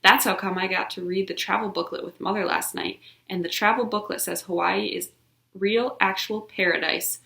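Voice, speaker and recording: A woman voicing a loud, boisterous little girl bursting with excitement, proud and bouncy. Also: mid-range voice, moderate pace, gravelly. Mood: chatty. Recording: roomy echo.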